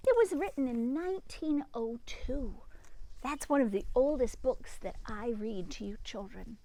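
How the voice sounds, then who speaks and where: A woman reading a storybook aloud to young children, expressively, with dramatic pauses and little exclamations and asides to her listeners. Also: fairly high pitch, lively intonation, warm tone, rough recording. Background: music and sound effects.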